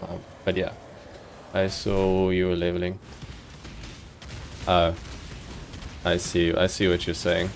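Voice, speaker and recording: A man from South Africa with a slightly Americanized South African accent, chatting casually and haltingly with viewers while streaming a game. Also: low voice, slight background noise. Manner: relaxed, chatty, halting with many ums and restarts, chuckles.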